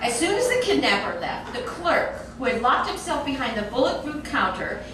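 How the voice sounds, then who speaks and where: A woman's voice: high voice, normal speed. Echoey, distant woman reading a comic story. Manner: animated, expressive storytelling. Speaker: reader. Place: echoey room, recorded from a distance. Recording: very echoey room, distant mic, noisy.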